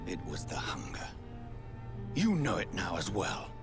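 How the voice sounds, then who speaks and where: A man with an English accent playing a brooding, haunted gentleman doctor turned vampire in a video game, speaking gravely and formally. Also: sits low, wildly swooping pitch, unhurried, over music, full character voice. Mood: pleading, sombre. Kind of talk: dramatic game voice acting.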